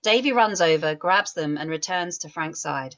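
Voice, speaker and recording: A woman narrating stage directions in a script table read, measured and descriptive. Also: low voice, expressive, fairly bright tone, muffled recording.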